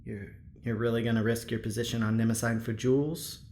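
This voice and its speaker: An actor playing an upbeat, cheeky young engineer in an audio drama, talking casually and teasingly with halting bursts of enthusiasm. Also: medium pitch, level tone, darkish tone, slight background noise, lightly acted. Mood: skeptical, prodding. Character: upbeat, cheeky Midwestern engineer, breezy and persuasive. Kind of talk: naturalistic audio drama acting.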